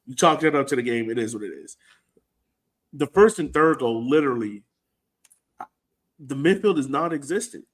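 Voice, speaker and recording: American male soccer podcast co-host, mid-range pitch, slangy and informal, conversational match breakdown. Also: lively intonation, moderate pace, long pauses. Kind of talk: casual sports chat, match breakdown.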